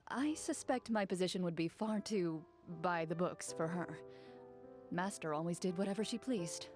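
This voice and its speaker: A woman playing a composed, dutiful young duelist in a video game, speaking in a measured, formal, matter-of-fact way. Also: lowish voice, darkish tone, faint music behind, lightly acted. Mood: calm, resigned. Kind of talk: scripted video game dialogue.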